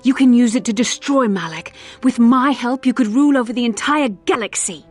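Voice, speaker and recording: An actress playing a proud, seductive fallen Jedi in a video game, speaking with intense, deliberate delivery. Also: female voice, a little high, soft background music, natural voice. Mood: contemptuous, commanding. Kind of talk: dramatic video game acting.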